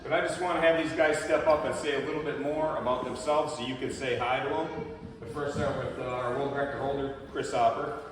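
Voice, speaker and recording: A man's voice: mid-range voice, steady tone, a little fast, a little hiss or hum. A man casually introducing fellow bikers at a school presentation, telling folksy stories. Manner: folksy, storytelling. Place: school hall. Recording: echoey hall from far off.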